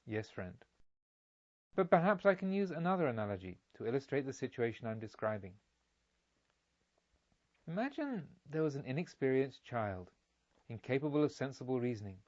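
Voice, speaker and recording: A man voicing a wise Indian monk in a storybook, teaching deliberately and emphatically by parable. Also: medium pitch, sing-song, darkish tone, highs cut off, lightly acted. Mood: calm, reasoning. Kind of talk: solemn storybook dialogue.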